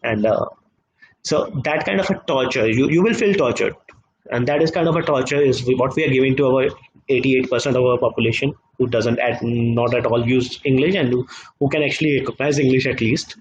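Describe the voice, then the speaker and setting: A man speaking as a guest in a live online discussion, halting often with fillers and repeated words. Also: mid-range voice, slight background noise, rough recording. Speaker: guest economist.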